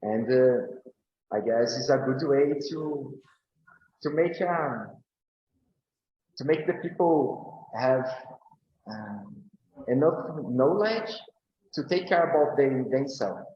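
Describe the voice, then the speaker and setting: A man from Brazil, speaking on a panel in slow, halting English with many fillers and restarts. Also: mid-range voice, expressive, a little hiss or hum. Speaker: agroecology speaker. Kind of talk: panel talk answering questions. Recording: roomy, dull recording.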